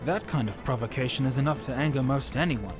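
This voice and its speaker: A man's voice: medium pitch, fast, pitched above the performer's own voice, lightly acted. A man voicing an earnest, commanding male warrior hero in a fantasy audiobook. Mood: wary, thoughtful. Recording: music behind the voice.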